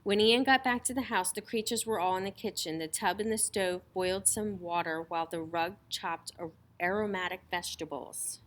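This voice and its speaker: A woman's voice: lowish voice, moderate pace, few pauses. A woman storyteller reading a children's story aloud in a dramatic style.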